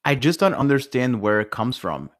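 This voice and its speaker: A man who grew up in Italy with Italian as his first language, co-hosting a podcast and telling stories in a lively way, laughing as he goes. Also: mid-range voice, moderate pace. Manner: animated storyteller, laughs while telling stories. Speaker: podcast co-host. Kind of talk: casual chat.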